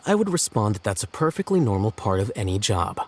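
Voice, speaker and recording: A stern young judge speaks in a low, dry, deadpan way and keeps his lines curt. A faint hiss sits behind his voice. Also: a little fast. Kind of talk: game voice acting.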